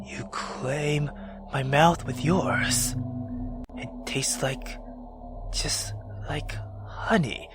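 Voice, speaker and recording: A man voicing a brooding, imperious dark lord with slow, menacing, formal delivery in a visual novel. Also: fairly high pitch, steady tone, over loud music, voice pushed down. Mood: brooding, hungry. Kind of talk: dramatic visual novel voice acting.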